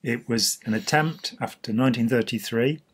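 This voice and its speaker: Hoarse older man explaining. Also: medium pitch, normal speed. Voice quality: husky. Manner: gestures freely while explaining. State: slightly hoarse. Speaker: host. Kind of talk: casual explanatory chat. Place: small indoor room.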